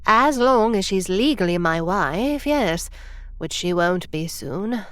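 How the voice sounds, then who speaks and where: A prim, by-the-book veteran time traveler near retirement, formal in speech and quick to get irritated. Her voice sits at a middling pitch and is recorded dry and close. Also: expressive, a bit bright, clean tone, no echo. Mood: earnest. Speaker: senior time travel agent. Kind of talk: audio drama acting.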